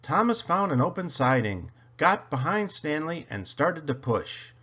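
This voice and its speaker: A man reading a children's story aloud in an expressive, dramatic, deliberate way. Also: on the high side, breathy edge, dull audio. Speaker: storybook reader.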